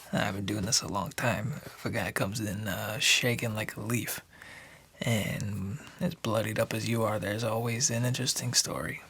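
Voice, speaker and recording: A man playing a gravelly noir bartender. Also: steady tone, normal speed, fairly bright tone, exaggerated voice. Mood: chatty. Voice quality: gravelly low whisper. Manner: hesitant, chatty, with frequent uhs. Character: friendly, nosy old-school noir bartender, man. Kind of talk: ASMR noir radio drama.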